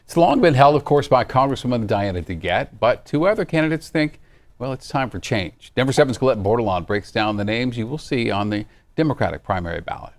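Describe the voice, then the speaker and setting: Medium-pitched American male news anchor. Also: lively intonation, brisk. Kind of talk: scripted news introduction.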